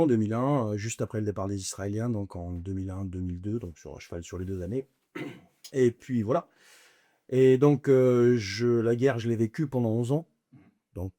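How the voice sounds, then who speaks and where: A man's voice: lowish voice, mellow, no room sound, clean studio sound. Blunt, slangy man in his forties. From Lebanon. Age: 40s. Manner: blunt, slangy, frequent fillers and chuckles. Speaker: podcast guest. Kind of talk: casual storytelling interview.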